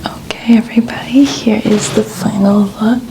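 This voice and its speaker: A woman in her twenties speaking in a soft, breathy near-whisper, chatting casually and gently as an ASMR host. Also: medium pitch, fairly even intonation, moderate pace, rough recording. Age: 20s. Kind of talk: soft-spoken ASMR, casual personal chat.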